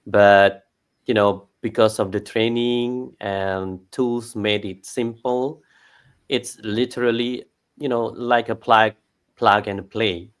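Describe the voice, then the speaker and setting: A man from Indonesia, a guest on a live panel, speaking politely and in a measured way. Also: lowish voice, steady tone, clear, resonant tone. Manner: polite, measured, a few "you know"s. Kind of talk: casual panel chat.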